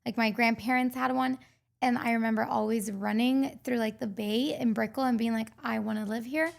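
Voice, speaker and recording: A woman's voice: a little high, fairly even intonation, moderate pace, vocal fry. A woman in her twenties from Costa Rica, a mindset coach talking earnestly as a podcast guest, with many filler words. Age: 20s. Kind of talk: podcast interview.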